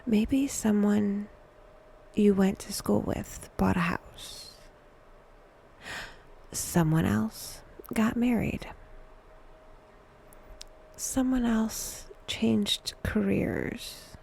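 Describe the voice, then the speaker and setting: A woman narrating a scripted guided meditation in a hushed, breathy, often near-whispered voice, with a wry, dry delivery. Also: medium pitch, very slow, long pauses, slight background noise, rough recording.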